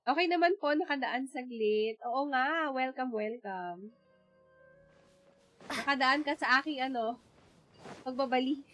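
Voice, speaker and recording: Filipino-accented woman, game streamer, chatty, reactive, slightly high voice. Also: measured pace, pauses often, a bit bright, soft background music, poor audio. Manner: chatty, reacting aloud to the story. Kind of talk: casual live commentary while gaming.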